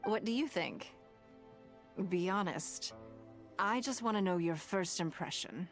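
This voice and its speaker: A woman voicing a wealthy, shrewd, self-assured noblewoman in a video game, speaking in a measured, formal way with calm, teasing poise. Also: on the low side. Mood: pleased, expectant. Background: game background music.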